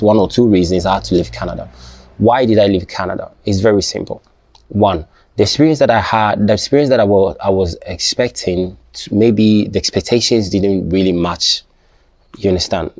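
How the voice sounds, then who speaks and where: A young man, not a native English speaker, talking casually to his viewers, halting and restarting often and checking "you understand?". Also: on the low side, a little mellow, no room sound. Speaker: vlogger. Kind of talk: casual personal talk to camera.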